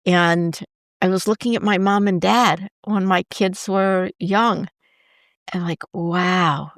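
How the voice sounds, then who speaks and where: A woman's voice: on the low side, steady tone, slow, no echo, studio quality. Reflective middle-aged woman host. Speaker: podcast host. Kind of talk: casual chat.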